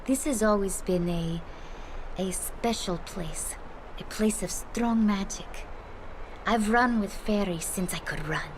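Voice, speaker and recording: A woman's voice: mid-range voice, slow, slight background noise, lightly acted, low-quality recording. A woman playing a kind, chatty, earthy tavern hostess in a video game, with a coaxing, affectionate, folksy manner. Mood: wistful, tender. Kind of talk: video game cutscene acting.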